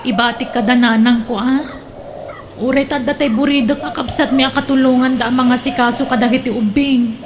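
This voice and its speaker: An actress plays a reproachful grown daughter in an Ilocano radio drama. Her Ilocano-accented voice is fairly high, with clear farmyard noise behind it. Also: fairly even intonation, fairly quick, natural voice. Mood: firm, reproachful.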